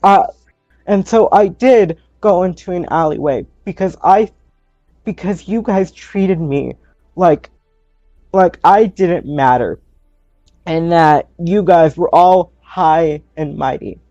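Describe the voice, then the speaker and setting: Man acting as distraught teenage girl on trial, slow, hesitant, defensive, slightly high soft-toned voice, tabletop roleplay, dry muffled recording. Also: no room sound, faint music behind. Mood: distraught, wounded. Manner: hesitant, stammering, restarting.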